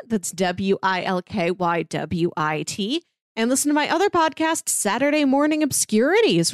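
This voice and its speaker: A woman's voice: mid-range voice, no room sound, clean studio sound. A woman co-hosting a podcast, talking in a chatty, informal way. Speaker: podcast co-host. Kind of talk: casual podcast chat.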